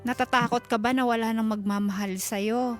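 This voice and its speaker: A woman's voice: fairly high pitch, steady tone, moderate pace. A Filipino woman hosting a radio advice show, talking conversationally and emphatically to a caller and mixing in English. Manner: emphatic, code-switches into English. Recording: music bed under voice. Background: background music.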